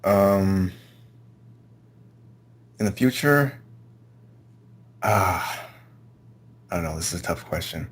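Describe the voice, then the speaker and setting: Man, low-pitched voice, hesitant, halting short answers, casual interview guest. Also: animated, slightly rough. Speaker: interview guest, amateur voice actor.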